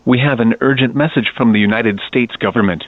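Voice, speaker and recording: A synthetic male voice delivers a scripted emergency broadcast. Its pitch sits in the middle and the delivery is stilted and official, like an automated system. The audio is dull and low in fidelity. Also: fairly quick, dry recording. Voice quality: synthetic text-to-speech voice. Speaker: emergency alert announcer.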